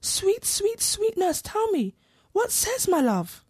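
An impatient girl in love acted by a young woman, pitched extremely high with lively swoops. She pleads eagerly in Shakespearean verse style, recorded close and dry. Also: slow, dry recording, exaggerated voice. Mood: impatient, pleading. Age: young adult. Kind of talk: Shakespeare audio play acting.

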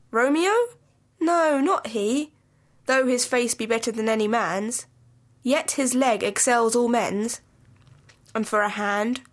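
Garrulous older nurse played by a young woman, slightly high voice, teasing and stalling, stage-classical radio drama. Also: close to an everyday voice. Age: young adult. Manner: bantering, rambling, stalling. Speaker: student actor. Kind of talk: Shakespeare audio play acting.

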